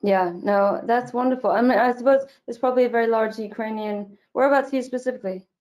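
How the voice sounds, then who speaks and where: A woman's voice: a little high, fast, darkish tone. A woman actress born in Ireland and raised in Switzerland and Germany, chatting reflectively as a guest in a remote interview. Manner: chatty, reflective, self-correcting. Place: home in Northern Ireland.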